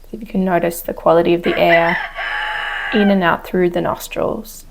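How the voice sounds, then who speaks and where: Woman, meditation teacher, very level intonation, creaky voice, gentle unhurried guidance, outdoors. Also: lowish voice, fairly even intonation, a little hiss or hum, rough recording. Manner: gentle, unhurried instruction with soft pauses. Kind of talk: guided meditation. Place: outdoors on a wooden deck. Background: chickens and a rooster.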